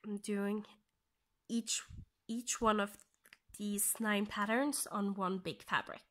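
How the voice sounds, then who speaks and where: Woman, Norwegian accent, non-native English speaker, slow casual tutorial talk, soft and breathy, medium pitch. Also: steady tone. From Norway. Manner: soft-spoken, often half-whispered, thinking aloud with pauses. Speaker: cross-stitch vlogger. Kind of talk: casual stitch-along tutorial.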